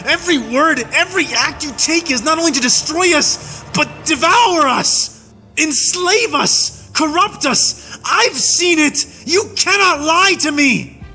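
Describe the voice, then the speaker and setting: A man playing an enraged human soldier, tied up and hurling a breathless, shouted tirade of hatred in an audiobook. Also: high voice, steady tone, a little slow, vocal fry, few pauses, faint music behind, pitched above the performer's own voice, exaggerated voice. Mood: raging. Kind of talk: audiobook reading.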